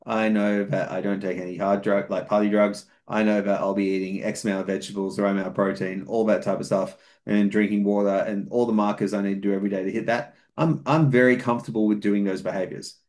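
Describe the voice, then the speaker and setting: Man, fairly low voice, podcast guest, methodical explainer, casual. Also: level tone, fast, few pauses, darkish tone. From outside New Zealand. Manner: methodical, explaining at length with fillers. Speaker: podcast guest, fitness coach. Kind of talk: casual chat.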